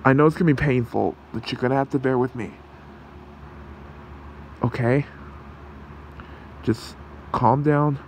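A man acts out a kindly fox shapeshifter in human form for an ASMR roleplay. He talks slowly with a gravelly creak, hesitating as he soothes and protects. A clear hum sits behind the voice. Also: mid-range voice, steady tone, close to an everyday voice. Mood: urgent, soothing.

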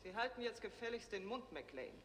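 An imperious female general in a 1960s space series. The voice is high, curt and sharp-tongued, heard with long reverb on a noisy vintage TV soundtrack. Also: lively intonation, a little fast. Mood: scolding. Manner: curt, commanding. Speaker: actor. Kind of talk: 1960s German TV science fiction acting.

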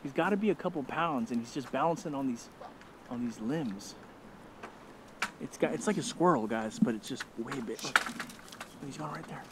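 A man vlogging outdoors, chatty and excited, talking straight to his viewers. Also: medium pitch, normal speed, long pauses, background noise. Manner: excited, chatty, keeps addressing viewers as guys. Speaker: vlogger hunting iguanas. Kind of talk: casual vlog narration to viewers. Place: outdoors in a neighborhood field.

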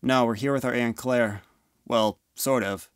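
A man's voice: mid-range voice, steady tone, a little slow, clean tone, no room sound, clean studio sound, lightly acted. A man playing a moody, offhand teenage older brother in an amateur audio drama. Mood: resentful.

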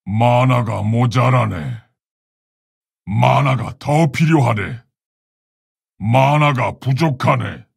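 A man playing a proud, solemn orc warchief in a video game, gravelly and husky, speaking in a commanding, stately, formal way. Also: mid-range voice, dry recording, clean studio sound, exaggerated voice. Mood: earnest, measured. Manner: commanding, stately, old-fashioned formal speech. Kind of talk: video game hero voice lines.

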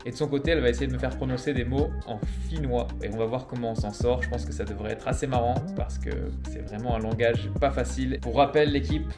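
A man's voice: medium pitch, quick pace, hardly any pauses. Upbeat young man in an echoey room. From France. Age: 20s. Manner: upbeat, chatty, laughs often. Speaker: vlogger. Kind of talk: casual chat to camera. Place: bright bedroom, indoors. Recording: echoey room with music behind.

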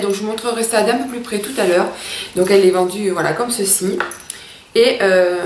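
A chatty, enthusiastic woman in her thirties reviews beauty products straight to camera. Her voice sits a little high. The small home room around her rings with echo, and a faint hiss lies under it. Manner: enthusiastic, chatty, addresses viewers as girls. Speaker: beauty vlogger. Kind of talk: casual makeup review to camera.

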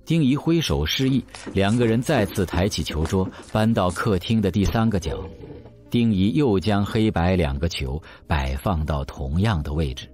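Deep-voiced male narrator, expressive delivery. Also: normal speed, faint music behind. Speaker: narrator of an audio drama. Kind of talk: scripted dramatic narration of a novel.

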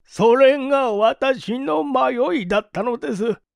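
A man voicing a penitent old man in his sixties, halting and solemn, with old-fashioned formal speech, in an audiobook drama. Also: very high-pitched, creaky, dry recording, clean studio sound, pitched above the performer's own voice, full character voice. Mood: resigned, resolute. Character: anguished, penitent old man over sixty, doting father.

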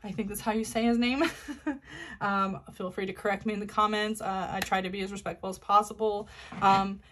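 A woman's voice: mid-range voice, steady tone, a little fast, fairly bright tone, slight background noise. A woman hosting her own channel, talking to camera in a chatty, casual way with many fillers and restarts as she sets up a tarot reading. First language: not Korean. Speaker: tarot reader, channel host.

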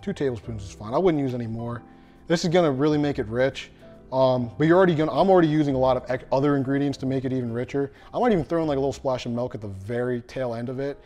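A man's voice: medium pitch, racing, mellow, soft background music. A young man hosting a casual cooking demo in his home kitchen, chatty and self-deprecating, talking to viewers as he stirs. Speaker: cooking show host.

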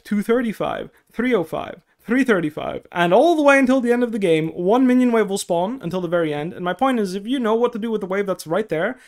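A young man whose first language is Dutch, coaching on a casual stream from a headset at his desk, explaining step by step with many okays. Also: high voice. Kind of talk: casual stream talk, answering chat. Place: small room indoors at a desk.

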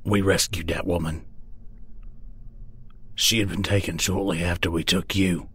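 Guy doing a remorseful man-eating beast, lowish raspy voice, solemn and measured, fantasy horror story. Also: flat delivery, fairly bright tone, full character voice. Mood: grave confession. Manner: grave, measured, apologetic. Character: grotesque cursed man-eating beast, remorseful outcast leader. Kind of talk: dramatised fantasy horror audiobook.

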